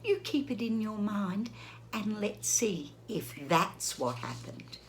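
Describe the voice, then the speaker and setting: A woman reading a picture book to young children, slow and unhurried with a lively, sing-song lift in her voice. She gently stops to ask the little listeners questions as she goes. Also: medium pitch. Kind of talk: children's read-aloud lesson.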